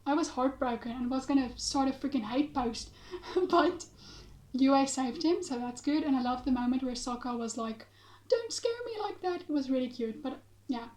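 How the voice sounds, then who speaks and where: Young woman reviewer, high voice, raspy and hoarse from illness, chatty and gushing. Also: steady tone, moderate pace, a little mellow. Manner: gushing, chatty, with many fillers. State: hoarse from being sick. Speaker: show reviewer. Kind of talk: casual spoken review.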